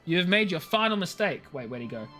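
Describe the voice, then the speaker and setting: A man's voice: sits high, very animated, fast talker, bright, forceful tone, soft background music. A man live streaming a video game, talking casually and jokily in slang with playful mock outrage. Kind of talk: casual chatty game commentary.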